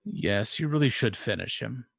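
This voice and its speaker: A man's voice: medium pitch, animated, normal speed, vocal fry, husky, dry recording. Man playing a stern, formal zealot. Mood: stern, commanding. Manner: formal, solemn, commanding. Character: stern, formal male member of a zealous order.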